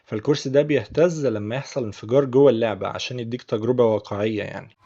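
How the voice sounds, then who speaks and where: A man's voice: mid-range voice, racing, warm tone, dull audio. A man with an Egyptian Arabic accent, patiently explaining English phrases word by word as a teacher.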